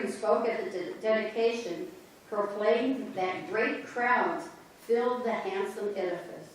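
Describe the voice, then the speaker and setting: A woman's voice: mid-range voice, distant mic, a little hiss or hum. Woman narrating in an echoey church. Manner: steady storytelling. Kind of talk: reader's theater narration. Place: church sanctuary.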